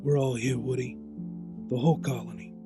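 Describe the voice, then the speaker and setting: A man's voice: medium pitch, fairly even intonation, moderate pace, close to an everyday voice. A man playing a solemn, devoted mouse brother in an audio drama. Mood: solemn, grieving. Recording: loud music under the voice.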